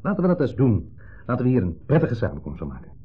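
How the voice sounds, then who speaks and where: A smug ex-husband in an old radio drama, needling and theatrically jovial. His voice is husky and fairly low, with insinuation under the pleasantries. The recording is muffled and narrow, with faint music. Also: wildly swooping pitch, quick pace. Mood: insinuating, bitter. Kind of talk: 1970s Dutch radio drama acting.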